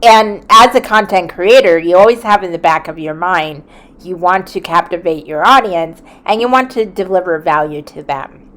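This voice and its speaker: A woman in her forties, a content creator, talking in an earnest, reflective way from a quiet room at home, with small hesitations. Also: fairly low pitch, moderate pace. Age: 40s. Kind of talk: reflective vlog talk.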